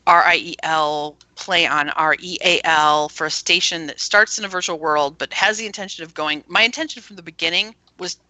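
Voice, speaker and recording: A woman's voice: low-pitched, normal speed, few pauses, sharp tone, no echo. A woman speaking as an interview guest, explaining things through casual anecdotes. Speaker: guest, founder of an internet radio station.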